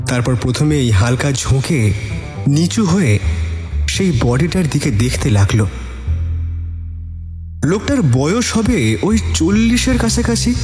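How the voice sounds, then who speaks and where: A man with a slightly high, very animated voice tells a suspense story in dramatic scripted narration. His voice carries a reverberant echo. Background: faint music.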